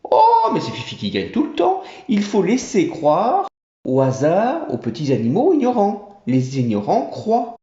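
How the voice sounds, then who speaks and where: A man doing a fawning monkey general in a storybook tale, a chattering tax collector who talks up his king. The voice is raised and lively, swooping up and down, with a mellow tone. It sits in a roomy, dull-sounding recording. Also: sits high, a little slow, voice pushed up, exaggerated voice. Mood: boastful. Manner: obsequious, chattering.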